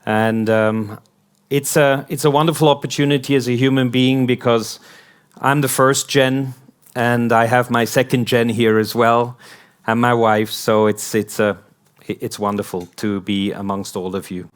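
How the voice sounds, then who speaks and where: A man's voice: mid-range voice, flat delivery, smooth. A man with an American accent, born in Hong Kong, giving a conference talk as a company founder, building up a string of rhetorical questions. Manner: slow, rhetorical, repeating "what if" questions. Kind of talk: conference speech.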